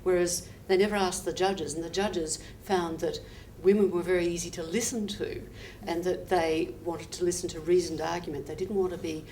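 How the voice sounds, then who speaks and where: A woman barrister speaking in a measured, slightly hesitant way on a panel. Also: fairly low pitch, steady tone, warm tone. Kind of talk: panel discussion. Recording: distant mic with faint hiss.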